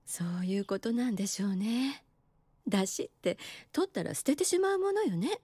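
Anime nurse: grown woman, gentle and coy, breathy edge, expressive inflection, dry sound. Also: a little high, moderate pace, no echo, heavily acted. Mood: wistful, resigned. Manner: gentle, coy, feminine phrasing. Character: composed adult nurse, softly teasing, wistful. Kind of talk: anime drama dialogue.

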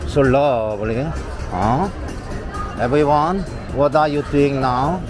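A man's voice: medium pitch, slow. A man with a Korean accent leading a live walking tour, talking in short broken English phrases with a constant "huh?" to viewers, walking a busy night street. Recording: outdoor street with crowd and music. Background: street crowd and loud music.

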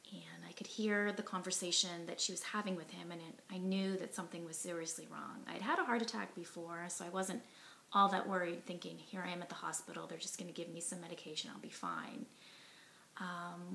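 A woman in her forties speaks straight to a camera close in front of her, earnest and reflective, her voice sitting a little low with a gravelly edge. She often drops to a soft, breathy tone and fills pauses with ums and you knows. A faint hiss runs under the recording. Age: 40s. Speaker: heart attack survivor telling her story. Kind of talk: personal testimony to camera. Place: living room at home, indoors. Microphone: device camera at arm's length, sitting close.

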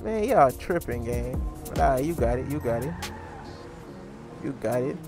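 A man's voice: medium pitch, expressive, a little slow, music in the background. A man giving casual, slang-heavy commentary while playing a crime role-play game, calling his viewers gang. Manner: slangy, laid-back, calls viewers gang. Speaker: gaming YouTuber playing a role-play series.

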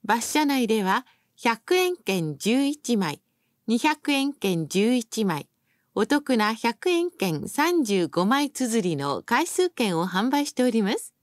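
A woman's voice: a little high, big pitch swings, takes it slowly, no echo, studio quality. A woman reading scripted bus announcements as a recorded announcer, in a formal, polite and carefully enunciated manner.

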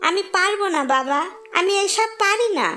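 A woman's voice: very high-pitched, expressive, moderate pace, pushed and bright, full character voice. A woman voicing a whiny, spoiled younger daughter in a cartoon. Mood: unwilling. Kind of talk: cartoon voice acting.

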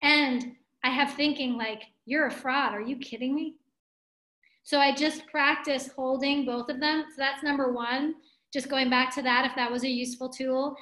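A woman's voice: high-pitched, a bit bright. A woman in her forties giving a spiritual webinar from her living room over a webcam, thinking aloud and gesturing as she speaks. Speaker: spiritual teacher. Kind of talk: webinar talk with viewers. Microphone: webcam on video call. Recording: roomy webcam audio.

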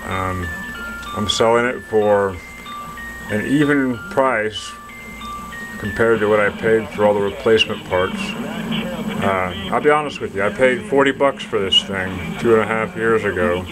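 A man giving a casual, halting product demonstration from behind a hand-held camera indoors, as if selling something. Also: lowish voice, over music, low-quality recording. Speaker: seller demonstrating a restored vintage radio signal tracer. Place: dim indoor room, speaking from behind the camera.

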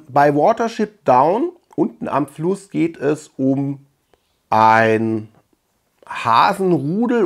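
A man, hosting a review channel and talking casually and conversationally to the camera from a room indoors, sometimes searching for words. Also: medium pitch. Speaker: host of a film review channel. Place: small room full of shelves and collectibles, indoors.

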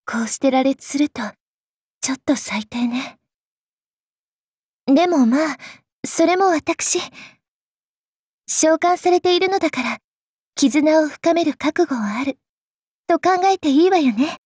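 A woman voicing an aloof, gloomy yet playful young royal lady in an anime game, refined and softly ironic. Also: sits high, animated, slow, pauses often, dry recording, clean studio sound. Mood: cool, self-deprecating. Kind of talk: anime game character voice acting.

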